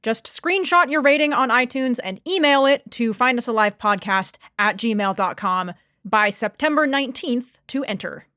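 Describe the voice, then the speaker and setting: A woman delivers scripted podcast announcements. Her voice sits a bit high and the sound is very dry. She comes across as a friendly announcer. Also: normal speed, pushed and bright, no room sound.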